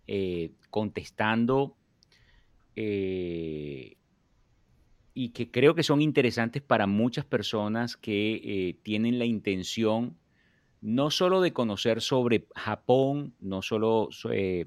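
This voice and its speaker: A male interview host with a low voice, talking in a relaxed, halting way full of fillers and restarts. The sound is dry and close, with little room around it. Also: dry recording. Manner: hesitant, many fillers and restarts. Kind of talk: casual interview.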